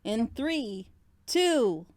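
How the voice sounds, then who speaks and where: A woman's voice: a little high, very animated, drawn-out words, background noise. A woman hosting a group reaction session, chatting casually and commenting as she goes.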